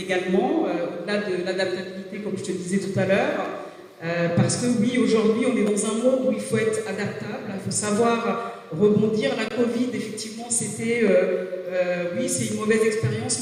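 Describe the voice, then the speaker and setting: A woman in her forties, a native Swahili speaker, giving a halting talk as a career coach, hesitating often and restarting her sentences. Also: medium pitch, fairly even intonation, darkish tone. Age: 40s. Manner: hesitant, many filler sounds and restarts. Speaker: business coach speaking at a jobs fair. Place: large gymnasium hall. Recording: echoey gym hall from far off.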